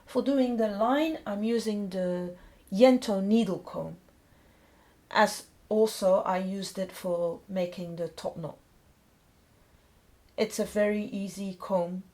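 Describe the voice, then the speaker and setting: A middle-aged woman from Belgium speaks as a non-native with a Belgian accent. She explains a hands-on demonstration at a slow, measured pace, in simple, informal phrases. Her pitch sits in the middle for a woman. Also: steady tone, darkish tone. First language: not English. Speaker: dog groomer presenting a tutorial.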